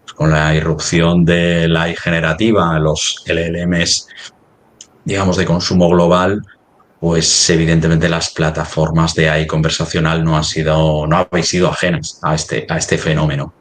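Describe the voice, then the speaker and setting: A man from talking business conversationally, halting and rephrasing as he goes, often ending on tag questions. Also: extremely low pitch, flat delivery. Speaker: company representative in a webinar.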